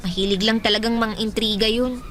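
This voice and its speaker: An actress playing a timid young woman in love, speaking softly and uncertainly in a radio drama. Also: fairly high pitch, fairly even intonation, brisk, slight background noise, lightly acted.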